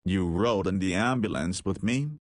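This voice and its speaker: Actor as low-voiced, cheeky young man, dry sound. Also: normal speed, no room sound, lightly acted. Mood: curious, casual. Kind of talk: visual novel voice acting with inner monologue.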